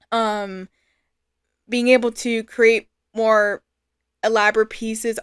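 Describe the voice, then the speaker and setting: A woman's voice: medium pitch, a bit bright, clean tone, dry recording, studio quality. A young adult woman giving an informal, earnest and reflective personal testimonial. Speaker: fan artist and creator.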